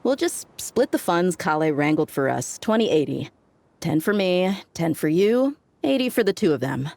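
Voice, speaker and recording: A woman's voice: on the low side, big pitch swings, moderate pace, creaky, darkish tone. A woman playing a confident, easygoing mercenary in a video game, casual and cocky in her talk. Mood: breezy. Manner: casual, cocky, plain-spoken. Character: confident, easygoing female mercenary, breezy and brash. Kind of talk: video game character dialogue.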